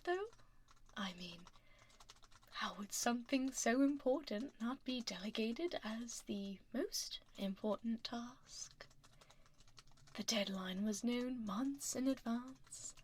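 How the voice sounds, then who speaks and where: A woman playing a powerful, stern dragon lady CEO in an ASMR roleplay, speaking in a soft, half-whispered voice with controlled, politely menacing poise, close on a binaural microphone. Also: a little high, slightly rough. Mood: coolly probing. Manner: deliberate, controlled, politely menacing office speech.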